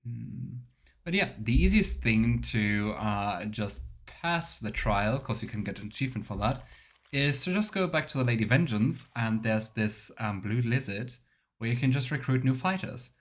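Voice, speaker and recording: A man's voice: medium pitch, animated, moderate pace. A man streaming a game with casual, rambling commentary, musing and thinking aloud. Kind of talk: casual Let's Play commentary. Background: faint game music.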